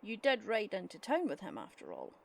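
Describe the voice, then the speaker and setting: Woman playing a shrewd, animated old grandmother. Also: mid-range voice, a little fast, gravelly. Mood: probing, dry. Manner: dry, probing, pointed.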